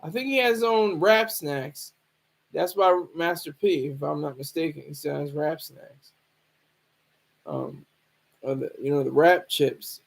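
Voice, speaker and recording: A man with a high-pitched voice talks off the cuff like a streamer commenting on the news. His delivery is casual and halting, wandering along as he repeats words and circles back over phrases. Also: gravelly. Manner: rambling, halting, repeats himself.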